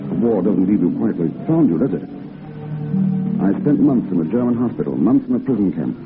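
An actor playing a gentle, withdrawn war veteran hiding a secret, in restrained, halting 1940s radio drama style. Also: male voice, on the low side, big pitch swings, phone-quality sound. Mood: restrained sorrow. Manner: restrained, halting, politely evasive. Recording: old band-limited radio recording with background music.

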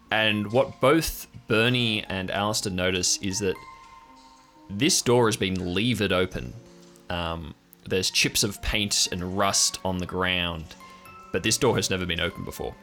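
A man narrating an improvised audio drama as game master, in a halting, measured, descriptive way. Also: low-pitched, pushed and bright.

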